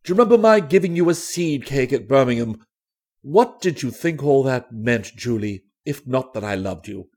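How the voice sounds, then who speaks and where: An old vaudeville man, acted out by a male storyteller, speaks low and huskily. He is blunt but sentimental, stretching his words with feeling, and swings widely in pitch. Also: animated, moderate pace, darkish tone. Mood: indignant, hurt. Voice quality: husky.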